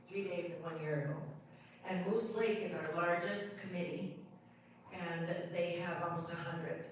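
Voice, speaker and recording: A woman making unscripted remarks as a local group organizer at a town hall. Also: lowish voice. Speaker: local political group founder. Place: indoor town hall meeting room. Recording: distant, very echoey room, faint noise.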